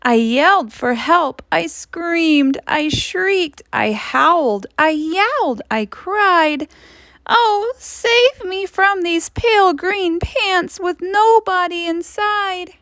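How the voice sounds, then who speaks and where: A woman teacher reading a storybook aloud to children, expressively, with dramatic emphasis and suspenseful drawn-out phrases. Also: very high-pitched, animated, fairly bright tone, dry recording, clean studio sound.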